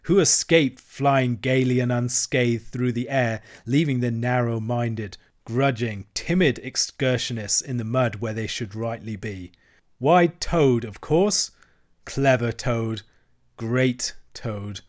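A man's voice: medium pitch, animated, takes it slowly, darkish tone. A man voicing a boastful, reckless male toad from a storybook, swaggering and gloating.

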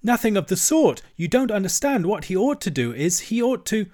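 A man voicing an excitable, blunt storybook mole with an exclamatory, plain-spoken manner. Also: medium pitch, moderate pace, natural voice. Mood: indignant.

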